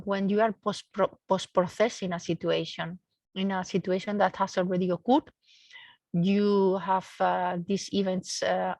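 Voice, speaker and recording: A woman's voice: mid-range voice, darkish tone, no room sound. A woman research engineer giving a technical explanation in a seminar, searching for words and often repeating them as she goes.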